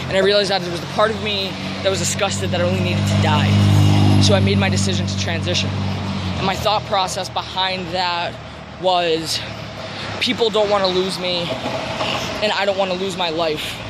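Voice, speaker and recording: Higher-pitched young man over loud traffic noise. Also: fairly even intonation, moderate pace. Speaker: vlogger. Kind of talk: personal confessional vlog.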